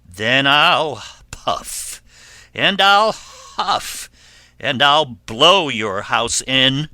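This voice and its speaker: A man voicing a sly, menacing storybook wolf who coaxes and blusters in a drawn-out, huffing delivery. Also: medium pitch, wildly swooping pitch, pushed and bright, dry recording, heavily acted. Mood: coaxing menace. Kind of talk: children's fairy tale character voice.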